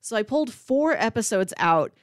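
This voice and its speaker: A woman's voice: on the high side, sing-song, moderate pace, no echo, clean studio sound. A woman co-hosting a podcast, talking in a casual, conversational way. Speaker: podcast co-host.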